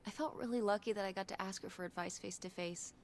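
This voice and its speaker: Woman doing a curious wry teen daughter in a story game, normal pitch, bit of noise behind. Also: quick pace, darkish tone, lightly acted. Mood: fond, reminiscing. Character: teenage daughter Tess, wry, warm and curious. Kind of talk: naturalistic video game voice acting.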